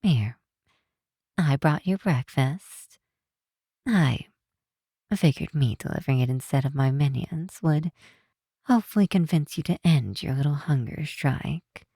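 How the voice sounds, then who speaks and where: A woman playing a possessive supervillain captor in an intimate ASMR roleplay, speaking in a measured, softly menacing yet reassuring way. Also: low voice, expressive, husky, no echo, clean studio sound, heavily acted. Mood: coaxing, teasing. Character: possessive female supervillain captor, coaxing and protective. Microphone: close microphone in a dry space.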